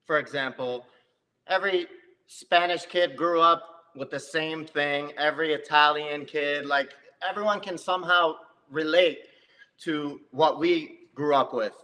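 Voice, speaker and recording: Bright-voiced man in a reverberant hall. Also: a little high. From New Jersey, USA. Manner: lively, laughs readily, quick to tell stories. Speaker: guest, comedic video creator. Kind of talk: casual interview chat.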